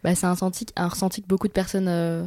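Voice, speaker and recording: Jeune femme franche, voix sèche. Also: female voice, on the low side, fast talker, no echo, studio quality. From France, of Syrian family. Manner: relaxed, frank, slangy. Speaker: podcast co-host. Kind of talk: casual conversation.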